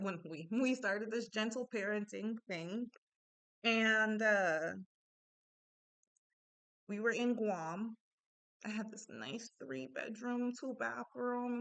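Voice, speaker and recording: A woman with a slight Panamanian Spanish accent telling personal stories in a casual, animated monologue, often checking in with "right?". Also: mid-range voice. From born in Japan, Panamanian family. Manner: animated, conversational, frequent "right?" asides. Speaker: self-help speaker.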